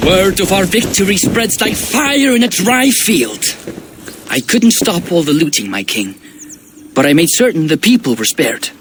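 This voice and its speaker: A man's voice: high-pitched, very animated, measured pace, background noise. An actor playing an eager, proud warrior lord serving his king in a video game, bold and declamatory. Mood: proud reporting. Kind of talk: dramatic video game cutscene acting.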